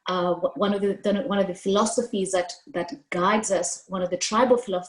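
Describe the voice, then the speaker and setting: A woman's voice: medium pitch, fairly quick. A woman in her thirties from Kenya with a Kenyan accent, a native Swahili speaker, telling her life story expressively as a guest on a video call. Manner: expressive, gestures with hands while speaking. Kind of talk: storytelling interview answer. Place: room at home, indoors. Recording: roomy video call audio.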